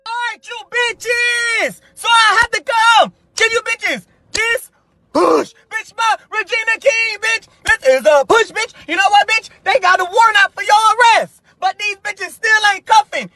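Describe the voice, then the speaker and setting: A brash, sassy American voice delivering a profane, emphatic comedic hype rant, shouting much of it. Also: very high-pitched, unhurried.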